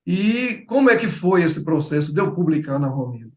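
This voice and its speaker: A middle-aged man with a Bahian accent chats as a guest on an interview show. His voice sits fairly high, and he talks in a loose way, full of fillers and repeated words. The room around him sounds echoey. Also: very animated, moderate pace, a little mellow. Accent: Bahian Portuguese. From Bahia, Brazil. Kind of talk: casual interview chat.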